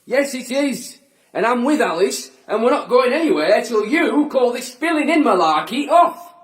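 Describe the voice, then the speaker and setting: An actor playing a playful, stubborn man in an audio drama, husky and teasing. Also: very high-pitched, sing-song, moderate pace, heavily acted. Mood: eager, determined. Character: playful, nostalgic, stubborn local man exploring old tunnels.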